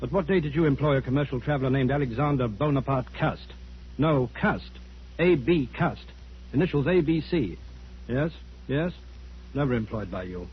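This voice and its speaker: An actor playing a brisk, businesslike police inspector in a 1940s radio thriller, clipped and matter-of-fact, on an old hissy broadcast. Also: male voice, mid-range voice, lively intonation, muffled recording, close to an everyday voice. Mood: official, probing.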